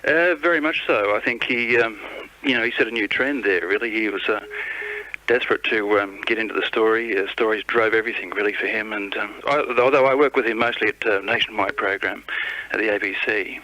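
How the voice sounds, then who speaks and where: Aussie guy phoning radio w stories, halting, understated, bright lowish voice, bit lo-fi. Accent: Australian. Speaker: guest, former colleague. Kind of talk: casual anecdotal reminiscence.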